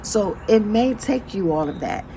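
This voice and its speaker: A hoarse woman gives a faith pep talk from inside a vehicle, her voice gravelly and strained. She keeps checking in with "right?" between phrases. Road noise hums clearly behind her. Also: mid-range voice, lively intonation, a little slow, warm tone. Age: adult with children. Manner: preachy, repeats "right?" after phrases. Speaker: motivational speaker.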